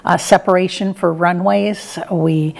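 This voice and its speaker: A woman's voice: fairly low pitch, fairly even intonation, a little slow, gravelly, a little mellow. A middle-aged woman answering interview questions in a conversational, plain-spoken and somewhat hesitant way. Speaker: interview guest, retired air traffic controller and professor.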